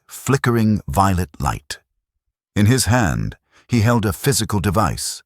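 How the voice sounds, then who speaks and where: A man narrating a science fiction audiobook in a steady, dramatic storytelling manner, close to a studio mic. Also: low-pitched, dry recording. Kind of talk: scripted narration.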